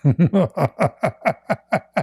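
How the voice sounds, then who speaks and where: Male host, non-native English, sarcastic and laughing, warm mellow tone. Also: fairly high pitch, lively intonation, extremely slow, breathy edge. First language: not English. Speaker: livestream host. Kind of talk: mocking commentary on video clips.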